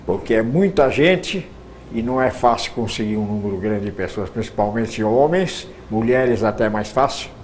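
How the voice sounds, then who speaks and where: A man's voice: fairly low pitch, lively intonation, moderate pace, slight background noise. An older man with a Brazilian accent answering informally as an interview guest, rambling and often repeating his words. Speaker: interview guest, radio broadcaster.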